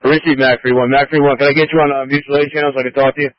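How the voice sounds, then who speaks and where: A man's voice: mid-range voice, flat delivery, rapid-fire, dry recording. A man working as a county emergency dispatcher, speaking in a procedural, businesslike way over a two-way radio. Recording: muffled lo-fi emergency scanner radio.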